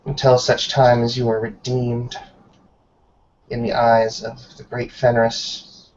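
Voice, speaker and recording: A stern werewolf elder acted by a man in a tabletop roleplay game. The words come slowly and solemnly, in an even, formal, judging manner. The sound is dull, as over an online call. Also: mid-range voice, close to an everyday voice. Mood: solemn, condemning. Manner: grave, deliberate, formal pronouncements.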